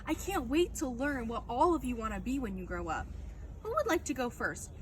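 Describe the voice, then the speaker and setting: Woman as a kind schoolteacher, lively pitch, noisy recording. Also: normal speed. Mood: encouraging. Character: kind, firm woman schoolteacher of young children. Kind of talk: children's storybook character voice.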